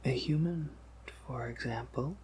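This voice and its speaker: A man voicing a gentle, wry vampire apothecary in a breathy ASMR whisper, musing softly and reassuringly. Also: medium pitch, unhurried, a little hiss or hum, natural voice. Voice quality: breathy whisper with creaky edges. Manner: gentle, reassuring, hesitant musing with soft hums. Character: soft-spoken, patient, wry male vampire apothecary, collector of oddities.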